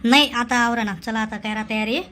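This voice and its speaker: A woman's voice: on the high side, steady tone, normal speed, sharp tone, slight background noise. A woman voicing a meddling, practical village man in a comic cartoon, coaxing and insistent.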